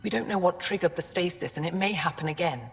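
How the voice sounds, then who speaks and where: An actress playing a wary, skeptical alien scientist in a video game, speaking in a measured, guarded way. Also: female voice, lowish voice, vocal fry, slight background noise. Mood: grave, cautious. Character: wary female alien lead scientist, skeptical but practical. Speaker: lead scientist. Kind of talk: video game dramatic acting. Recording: long reverberant hall, faint music behind.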